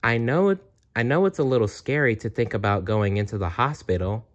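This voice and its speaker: A man voicing a gentle, comforting female bear from a storybook, soothing and reassuring, on a dull recording. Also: medium pitch, a little fast, lightly acted.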